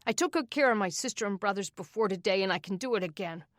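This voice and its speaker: A woman's voice: fairly high pitch, lively intonation, normal speed, dry recording, clean studio sound, pitched above the performer's own voice, natural voice. A woman playing a snarling orphan boy. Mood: furious. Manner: snarling, shouting, bitter. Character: angry defiant orphan boy, big brother.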